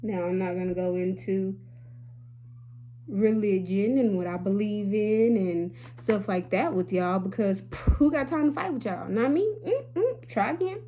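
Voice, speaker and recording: A woman's voice: mid-range voice, unhurried, mellow, a little hiss or hum. A woman in her late twenties talking candidly to her viewers in a casual vlog, chatty and sassy, calling them y'all. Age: 20s. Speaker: beauty vlogger.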